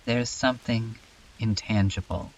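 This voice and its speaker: A man playing a haunted, weary survivor who narrates a lonely horror story in a brooding, introspective, halting way for a fan dub. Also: fairly low pitch, fairly even intonation, far from the mic, lo-fi sound, lightly acted. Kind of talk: dramatic first-person narration in a fan dub.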